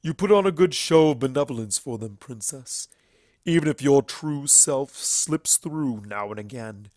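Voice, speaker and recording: A man voicing a bitter, grieving, proud ancient stallion in a storybook reading, forceful and declamatory. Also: mid-range voice, lively intonation, a little slow, dry recording, close to an everyday voice. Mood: grim, accusing. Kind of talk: dramatic fan fiction character reading.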